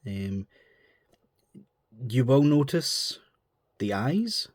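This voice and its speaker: A man's voice: mid-range voice, big pitch swings, very slow, no echo, clean studio sound. A man with a stuffy nose from a cold, chatting in a rambling, informal way as he reviews a model kit. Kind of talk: casual chat.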